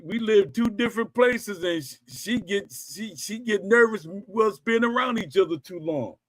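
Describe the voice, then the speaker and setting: A man's voice: high voice, moderate pace, no echo, poor audio. Rambling, self-correcting middle-aged male host. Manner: reads out and answers live chat comments. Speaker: podcast host. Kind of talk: casual livestream storytelling chat.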